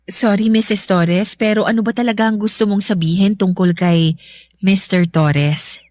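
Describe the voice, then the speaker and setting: A woman's voice: medium pitch, fairly even intonation, moderate pace, darkish tone, dry recording, lightly acted. A woman playing an earnest, guarded young schoolteacher in a radio drama, speaking with polite restraint. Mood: defensive, hesitant.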